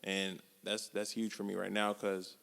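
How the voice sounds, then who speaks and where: A man in his twenties co-hosting a podcast, talking casually and reflectively in relaxed slang. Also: lowish voice, measured pace, creaky, clear, resonant tone. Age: 20s. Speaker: podcast co-host. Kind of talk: casual chat. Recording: long hall reverb.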